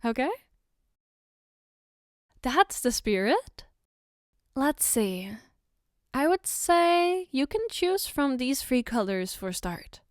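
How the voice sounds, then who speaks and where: Affectionate girlfriend, acted by a woman, soft-spoken ASMR roleplay, slightly high voice, clean smooth tone, reassuring, playfully coaxing. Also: very animated, slow pace, long pauses, dry recording, studio quality, full character voice. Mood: gentle, attentive. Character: affectionate, gently teasing girlfriend doing her partner's makeup.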